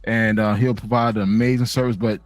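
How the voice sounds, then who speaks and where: A man hosting a live talk, his mid-range voice jumping up high whenever he drives a point home. The sound is dry and close, with faint music behind. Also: little pitch movement, brisk, dry recording. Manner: emphatic, swings up in pitch when stressing a point. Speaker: host. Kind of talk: casual live chat.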